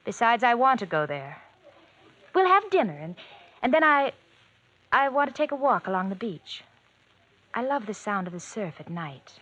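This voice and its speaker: High, lilting, murderous radio wife. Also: female voice, big pitch swings, normal speed, pauses often, fairly bright tone, muffled recording. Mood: coaxing, insistent. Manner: mocking, coaxing, calls husband dear and darling. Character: cold, teasing thrill-seeking murderous wife. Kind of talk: old-time radio mystery acting. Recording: vintage radio drama with background noise.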